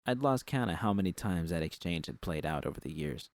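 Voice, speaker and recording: Very deep, gravelly male story narrator. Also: lively intonation, a little fast, a little mellow, no room sound. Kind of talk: first-person scripted fiction narration.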